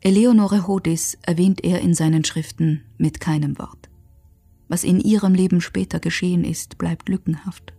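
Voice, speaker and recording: A woman narrates a historical radio documentary in a sober, measured way. Her voice is mellow and slightly low, with marked creak, and her delivery is factual and scripted. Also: fairly even intonation, soft background music.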